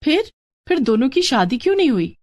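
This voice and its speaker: Woman doing caring persistent housewife in a moral story, coaxing, really animated pitch. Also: brisk. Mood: concerned, determined. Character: caring, persistent young married sister-in-law, pleading housewife. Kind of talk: Hindi moral story character voice.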